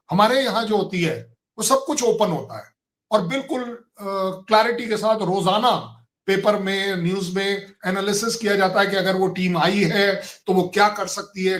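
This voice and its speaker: This is a man from India, a retired colonel, debating as a guest on a talk show. His voice sits high for a man. He lays out his arguments emphatically, one numbered point after another.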